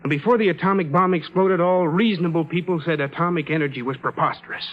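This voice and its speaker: A man's voice: fairly high pitch, fast talker, lightly acted. An actor playing an earnest, desperate bereaved man in a 1950s radio drama, insistent and persuasive in his delivery. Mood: persuasive, intense. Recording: muffled vintage 1950s radio recording.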